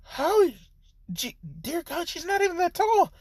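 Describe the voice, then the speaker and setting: A man putting on a high, yelping young male ninja, loud and flustered, his exclamations bursting out in a strained upper register. The voice jumps sharply in pitch and swells in volume as the words tumble out. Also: animated, measured pace, no echo, studio quality. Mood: astonished.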